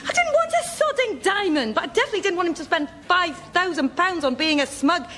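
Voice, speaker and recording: A woman's voice: very high voice, sing-song, brisk, a bit bright, full character voice. A woman playing an indignant, incredulous friend in conversational radio sketch comedy. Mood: exasperated. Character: exasperated woman swapping bad boyfriend stories.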